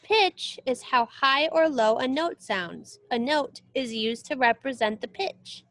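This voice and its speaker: A woman reading a children's music book aloud as a teacher, expressive and explanatory. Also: high voice, unhurried. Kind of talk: read-aloud lesson for children.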